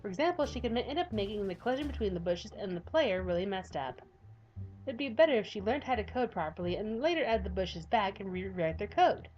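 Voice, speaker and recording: Animated woman reading a visual novel. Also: mid-range voice, fairly quick, lo-fi sound. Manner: lively, animated reading with nervous asides. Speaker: let's play host.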